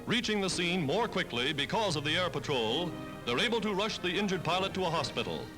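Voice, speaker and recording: A man's voice: sits high, lively intonation, brisk, over music, rough recording. An American man narrating a 1940s newsreel in an emphatic, dramatic announcer style, on an old film soundtrack.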